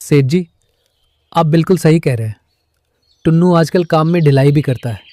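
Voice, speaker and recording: Selfish flattering parrot for a cartoon, wheedling, raised male pitch, dry sound male performer. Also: normal speed, no room sound, natural voice. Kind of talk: Hindi cartoon story dialogue.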